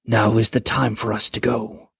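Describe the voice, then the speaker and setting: Casting: a woman doing a colossal elder dragon father, deep, raspy and breathy, slow and measured, stern authority, dry close sound. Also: flat delivery, no echo, full character voice. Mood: solemn. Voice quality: deep gravelly whisper. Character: huge ancient male dragon parent, stern. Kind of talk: audiobook character voice.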